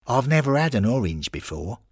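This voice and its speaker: A man's voice: medium pitch, big pitch swings, fairly quick, slightly rough, no room sound, clean studio sound, voice pushed up. A man doing a naive young footballer. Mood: delighted.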